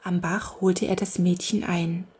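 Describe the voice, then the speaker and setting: A woman reading a fairy tale aloud for children in a slow, gentle storytelling manner. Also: lowish voice, level tone, breathy edge. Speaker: audiobook narrator.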